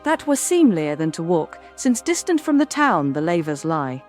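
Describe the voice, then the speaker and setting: Lady voicing a goddess posing as a maiden, stately old verse, gently scolding, music underneath. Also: on the low side, very animated, moderate pace. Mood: gently urging. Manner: stately, archaic diction. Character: goddess Pallas in a maiden playmate's shape, gently chiding. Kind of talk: formal verse audio drama.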